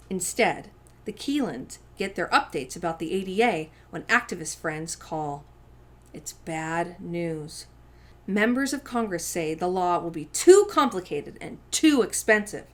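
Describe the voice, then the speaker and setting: A woman hosting a story time, reading a picture book aloud to young children with deliberate, emphatic phrasing. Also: lowish voice. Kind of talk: expressive children's read-aloud narration.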